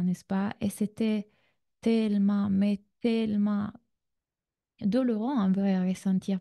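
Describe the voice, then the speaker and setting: A woman's voice: mid-range voice, mellow. A woman from Italy speaking with an Italian accent, coaching in a conversational online masterclass and addressing her listeners directly. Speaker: relationship coach, masterclass host.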